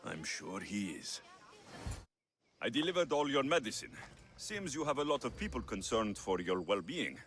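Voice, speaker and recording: Blunt, lively young mercenary. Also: male voice, medium pitch, normal speed, slight background noise. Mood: guarded. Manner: blunt, challenging, questioning. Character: blunt, brooding young Greek mercenary man. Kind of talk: video game cutscene acting.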